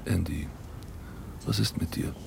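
An actor playing a grave, paternal priest hearing confession in a German radio drama, hushed and admonishing. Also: male voice, low voice, unhurried, vocal fry, background noise, heavily acted. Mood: grave, consoling.

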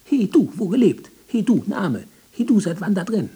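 An actor playing an irritable, obsessive male archivist in a radio play, gruff and clipped as he mutters and orders. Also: high voice, big pitch swings, normal speed, mellow, exaggerated voice. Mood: barking, impatient. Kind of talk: 1990s German radio play acting.

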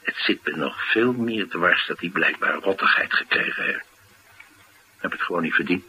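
An actor playing a rough but loyal bodyguard and driver in a naturalistic radio drama, with a gruff, plain-spoken, slangy way of talking. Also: male voice, deep voice, moderate pace, bright, forceful tone, slight background noise, low-quality recording. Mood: grudging respect.

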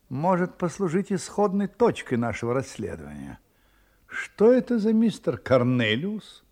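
Shrewd detective, gravelly, swooping intonation, deliberate, ironic, brooding, audio drama. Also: male voice, fairly high pitch, darkish tone, heavily acted. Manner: deliberate, theatrical, thinking aloud with pauses. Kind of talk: Russian audio drama acting.

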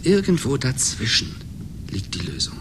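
An actor playing a sober, brooding chess player in a German radio play, measured, serious and explanatory. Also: male voice, medium pitch, big pitch swings, noisy background, natural voice. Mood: absorbed, grim.

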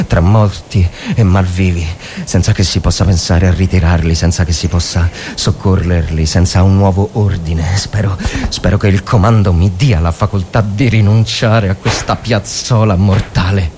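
Anguished wartime officer, halting and theatrical. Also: male voice, low-pitched, fairly even intonation, background noise. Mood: grim. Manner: halting, repeats words, theatrical. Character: anguished, bitter First World War Italian officer in the trenches. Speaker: actor reading a short story. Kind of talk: dramatic literary monologue, radio reading.

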